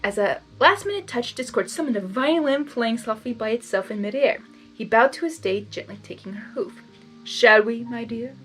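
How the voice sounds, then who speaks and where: A woman reading fan fiction aloud expressively, breaking into chatty, giggly, excitable asides. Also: on the high side, a little fast, faint music behind. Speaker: story reader.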